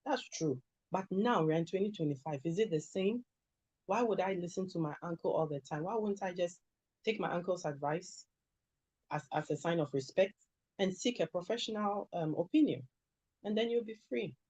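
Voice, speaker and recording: A Ghanaian woman with a Ghanaian accent, an immigration lawyer answering interview questions in a measured, advisory way. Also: on the low side, a little mellow, slightly rough, no room sound. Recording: dull lo-fi sound.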